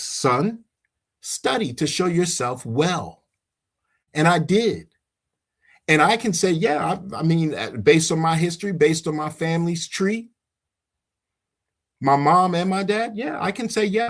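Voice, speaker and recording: A man preaching informally and giving personal testimony as he leads a religious discussion, emphatic and repeating phrases for weight. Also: high voice. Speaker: host leading a religious discussion.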